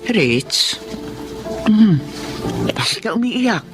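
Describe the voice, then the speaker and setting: Woman acting bitter mother, animated delivery. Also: mid-range voice, extremely slow, music in the background. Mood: concerned. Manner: dramatic, emphatic. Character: vengeful, deceitful middle-aged mother, bitter and controlling. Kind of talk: melodramatic drama acting.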